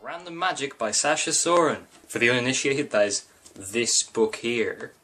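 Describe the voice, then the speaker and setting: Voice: medium pitch, animated, normal speed, music in the background. A book reviewer giving a scripted presentation about a fantasy novel.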